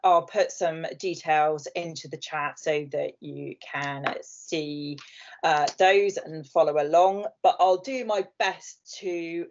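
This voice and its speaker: A woman's voice: sits low, fairly even intonation, slow, darkish tone. A woman with a southern English accent presenting to an online group, friendly and chatty. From southern England. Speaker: guest speaker, marketing presenter. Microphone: video call. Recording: dull lo-fi video call audio.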